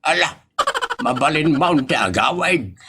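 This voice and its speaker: A man's voice: fairly high pitch, lively intonation, measured pace, background noise. A man playing a stubborn, bossy character in an Ilocano comedy drama, with an Ilocano accent and emphatic delivery.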